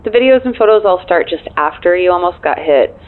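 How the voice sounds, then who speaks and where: An actress playing a dryly wry, efficient and loyal assistant in an audio drama, speaking through a phone filter with a deadpan manner. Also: female voice, lowish voice, a little fast. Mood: dry, teasing. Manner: deadpan, dry. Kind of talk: naturalistic audio drama acting. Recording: thin narrow phone-line sound.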